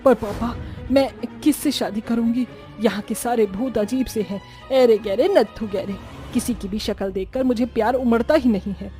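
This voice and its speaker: A woman's voice: on the high side, moderate pace, warm tone, faint music behind, lightly acted. A woman voicing a vain, fussy young cartoon witch who chats in a theatrical, dismissive way. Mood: picky complaining. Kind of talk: Hindi cartoon dubbing.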